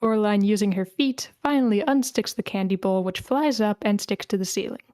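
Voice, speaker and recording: A woman's voice: medium pitch, fairly quick, a little mellow, no echo. Woman narrating a screenplay, dry sound. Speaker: stage-direction narrator. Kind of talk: reading screenplay aloud.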